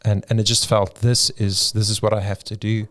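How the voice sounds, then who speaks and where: A man's voice: on the low side, flat delivery, normal speed, a bit bright. A middle-aged South African man, a pastor whose first language is Afrikaans, telling his story in an interview with frequent ums and restarts. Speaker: pastor and church planter.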